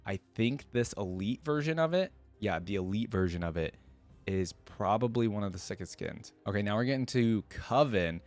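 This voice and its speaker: A man's voice: fairly low pitch, lively intonation, normal speed, darkish tone, faint music behind. A man giving casual, unscripted reaction commentary as a video host, enthusiastic and rambling with informal, slangy wording.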